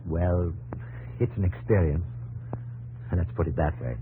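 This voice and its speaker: An actor playing a confident but guarded, homesick young English engineer with polite, clipped answers in a 1950s radio drama. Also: male voice, low-pitched, measured pace, narrow, tinny audio. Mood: reserved. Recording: 1950s radio, faint noise and hum.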